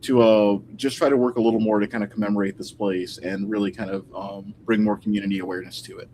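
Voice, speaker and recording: A man's voice: lowish voice, steady tone, fast talker, a little mellow, a little hiss or hum. A man talking casually and thoughtfully as a guest historian in an informal interview, picking his words tentatively over a video call.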